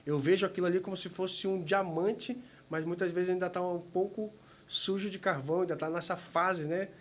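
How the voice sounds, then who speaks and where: A man from Manaus in Brazil hosting a podcast, speaking in long, measured, motivational sentences. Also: high voice, steady tone. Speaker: podcast host. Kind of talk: interview hosting, reflective monologue.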